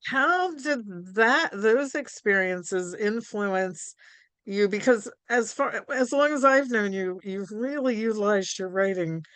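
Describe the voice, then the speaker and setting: A woman hosting a live online conversation over a video call, talking in a halting way with many ums and restarts. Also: medium pitch, fairly bright tone, clear, resonant tone, no echo. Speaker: host.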